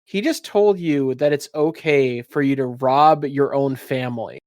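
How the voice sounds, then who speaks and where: A man's voice: mid-range voice, unhurried, darkish tone, no room sound, clean studio sound. A man hosting as game master, narrating a tabletop roleplaying game in descriptive, scene-setting detail. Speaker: game master, podcast host.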